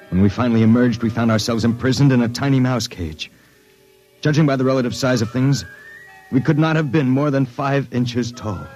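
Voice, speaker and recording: A man playing a haunted prisoner who narrates his ordeal gravely and intensely in a 1950s radio drama. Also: fairly low pitch, mellow, natural voice. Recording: muffled 1950s radio recording.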